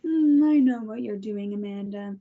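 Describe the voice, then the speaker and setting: A woman's voice: mid-range voice, moderate pace, phone-quality sound, natural voice. A woman reading a cold, self-righteous captor at a table read, making clipped, controlled threats. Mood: contemptuous.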